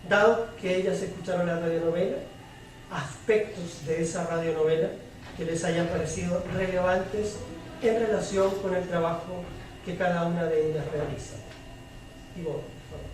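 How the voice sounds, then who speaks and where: A man moderating a public panel in a semi-formal, measured way, with deliberate, halting phrasing. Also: high voice, fairly even intonation, darkish tone. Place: public debate room with audience. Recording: very echoey hall, distant mic, clear noise.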